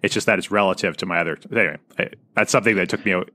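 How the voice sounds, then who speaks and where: A man with a low voice and a bright, forceful edge hosts a conversational interview, chiming in with anecdotes of his own. The sound is dry and close. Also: very fast, no room sound. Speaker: podcast host.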